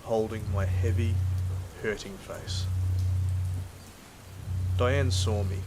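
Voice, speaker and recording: A man's voice: fairly low pitch, fairly even intonation, takes it slowly, noisy background. An Australian man playing a proud, blunt field hunter who narrates an audio drama in a weary, colloquial way. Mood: weary, brooding.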